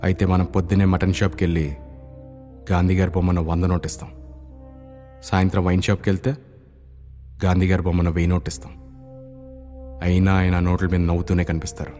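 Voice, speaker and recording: Actor as low-voiced, sardonic, calm kidnapper. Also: male voice, fast talker, long pauses, natural voice. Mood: mock-polite, calm. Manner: mock-polite, deadpan, reasoning. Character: calm, sardonic male everyman kidnapper making demands. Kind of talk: Indian film drama dialogue. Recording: film soundtrack with faint music.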